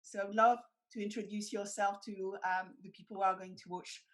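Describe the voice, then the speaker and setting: A woman's voice: medium pitch, flat delivery, moderate pace, fairly bright tone. A woman hosting a webinar interview, asking questions in a welcoming, encouraging way. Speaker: webinar host.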